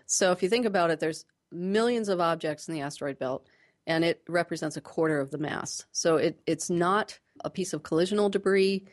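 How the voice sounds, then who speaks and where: A woman speaking as a scientist guest in a radio interview, explaining things plainly and in a measured way, pausing to choose her words. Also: lowish voice.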